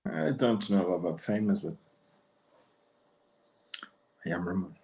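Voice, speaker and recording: Casting: male actor as elderly Mexican-American rancher dad, stubborn yet tender, widely swinging intonation, halting, bits of Spanish, screen drama. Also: sits low, lively intonation, vocal fry, dark tone. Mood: flustered, courteous. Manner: halting, repeating words, dropping in Spanish. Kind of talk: screenplay table read acting.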